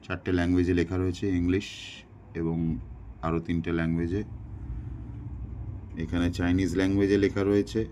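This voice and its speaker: A man's voice: low voice, little pitch movement, brisk, long pauses, warm tone, a little hiss or hum. A man casually narrating an unboxing in an explanatory way, mixing in English phrases. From India. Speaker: product unboxing presenter.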